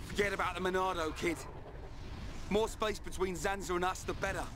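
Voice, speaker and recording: A man playing a hot-headed, loyal young fighter in a video game, blunt and excitable. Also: high voice, a little fast, noisy background, close to an everyday voice. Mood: urgent, defiant. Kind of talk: scripted video game battle acting. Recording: music behind.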